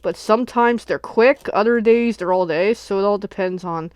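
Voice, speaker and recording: A woman's voice: medium pitch, moderate pace, a little mellow, clean tone, no echo. Woman, sniffly, casual livestream host, chatty, thinks aloud, dry sound. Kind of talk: casual unboxing chat. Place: home craft room.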